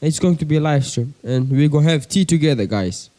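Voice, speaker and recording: Casting note: young man, coastal Kenyan accent, laid-back vlogger chat, higher pitched, soft mellow tone, homemade bedroom recording. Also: expressive, a little fast. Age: young adult. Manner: chatty, keeps addressing viewers as guys. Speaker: pigeon-keeping vlogger. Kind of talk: casual chat to camera. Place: bedroom with a desk.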